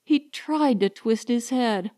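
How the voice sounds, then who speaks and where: A woman narrating a science fiction audiobook in a measured, deliberate, dramatic way. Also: a little high, mellow.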